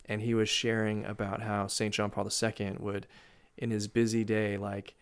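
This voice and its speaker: A man in casual podcast conversation, telling a story with laughs and lively asides. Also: lowish voice, moderate pace, clean tone. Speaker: guest speaker.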